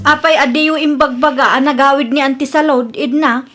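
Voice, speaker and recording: An actress playing a young, defensive and insistent niece in an Ilocano radio comedy-drama, with a Filipino accent. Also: female voice, high-pitched, steady tone, moderate pace, loud music behind the voice, natural voice. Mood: questioning.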